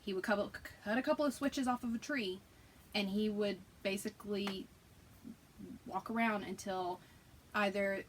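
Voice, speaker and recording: A woman's voice: medium pitch, steady tone, measured pace, pauses often, a little hiss or hum. A woman with a Southern US accent chatting casually as a co-host, self-deprecating and rambling as she tells stories. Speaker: podcast co-host. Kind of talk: casual chat.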